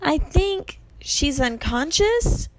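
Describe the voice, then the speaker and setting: Woman putting on a high, excitable young satyr. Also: extremely slow, slight background noise, heavily acted, low-quality recording. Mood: excited, calling down. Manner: yelling, eager. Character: excitable, eager young male satyr sidekick.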